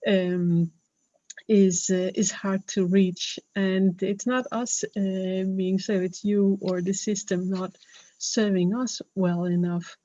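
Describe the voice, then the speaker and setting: A woman's voice: medium pitch, fairly even intonation, slow, dark tone, no echo, muffled recording. A woman from Denmark with a Danish accent, speaking English as a second language in a webinar talk, earnest and hesitant, with many fillers and restarts. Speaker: webinar speaker, health professional.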